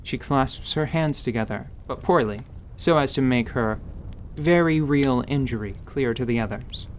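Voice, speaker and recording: A man's voice: mid-range voice, moderate pace. Narrator, man, middle register, scripted storytelling for a fantasy radio play, faint background noise.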